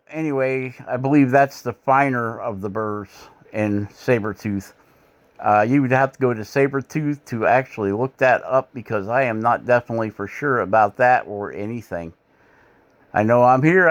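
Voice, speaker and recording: A man's voice: medium pitch, highs cut off. A middle-aged man from Michigan, hosting a woodcarving channel, narrating his carving footage in a rambling, self-deprecating, folksy way with lots of "uh" fillers.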